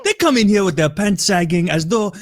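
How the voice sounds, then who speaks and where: A man's voice: high-pitched, sing-song, a little fast, voice pushed up. A man doing a fussy, indignant dealership worker. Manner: mock-formal, indignant rant. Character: fussy male car dealership worker ranting.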